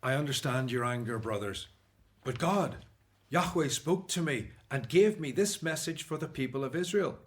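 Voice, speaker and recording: An actor playing an earnest, devout Hebrew prophet and leader in a radio Bible drama, with a solemn, declamatory manner. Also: male voice, mid-range voice, lively intonation, normal speed, close to an everyday voice. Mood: solemn, pleading.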